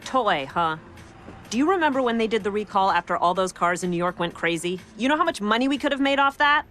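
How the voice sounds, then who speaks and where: A woman's voice: medium pitch, lively intonation, fast, fairly bright tone, soft background music, lightly acted. A woman playing an eager, argumentative mechanic in a game cutscene, pushy and pleading. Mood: annoyed. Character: eager, argumentative female mechanic keen on modern cars. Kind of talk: video game cutscene acting.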